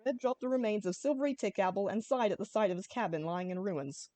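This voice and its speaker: A woman narrating an amateur comic story in a playful, expressive way. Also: medium pitch, quick pace, no echo. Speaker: story reader.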